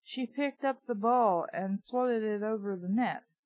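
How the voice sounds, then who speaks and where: A woman's voice: medium pitch, a little slow, no echo, highs cut off. A woman reading a book aloud casually, with blunt, sweary, opinionated off-the-cuff commentary. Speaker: reader and commentator of a children's book.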